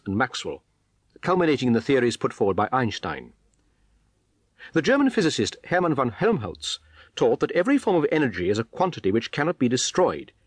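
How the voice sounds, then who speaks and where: A man's voice: medium pitch, dry recording. A man reading a scripted biographical documentary narration in a formal, articulate, explanatory manner.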